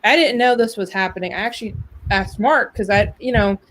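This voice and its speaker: A woman's voice: medium pitch, a little fast, fairly bright tone. Outspoken American woman co-host, noisy recording. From New Mexico, USA. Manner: candid, outspoken, informal. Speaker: co-host of a business show. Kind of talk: casual chat.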